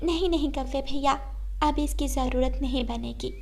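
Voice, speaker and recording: A woman voicing a gentle, worried mother sparrow in a cartoon, speaking earnestly and politely. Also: sits high, normal speed, gravelly.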